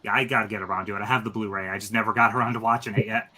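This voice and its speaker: A man's voice: on the low side, steady tone, rapid-fire, over a noisy crowd, low-quality recording. A man in his thirties hosting a casual movie-chat stream, talking eagerly with lots of fillers. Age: 30s. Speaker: stream host.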